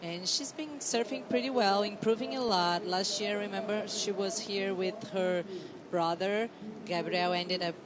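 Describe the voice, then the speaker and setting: A woman's voice: mid-range voice, measured pace, a crowd in the background. A woman co-commentating live sport in a conversational way, prompting her colleagues.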